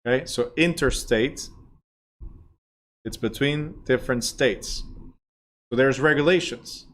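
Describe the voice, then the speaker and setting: A man's voice: mid-range voice, slow, a bit bright. Chatty New man teaching a class. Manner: casual, chatty, asks the class questions and says "right?" a lot. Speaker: real estate school director and instructor. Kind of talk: live classroom lecture.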